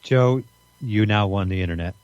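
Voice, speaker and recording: A man in his fifties co-hosting a podcast, talking in halting casual chat full of fillers. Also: lowish voice, a little mellow, clean tone, dry recording. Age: 50s. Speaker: podcast co-host. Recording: dry, dull lo-fi sound.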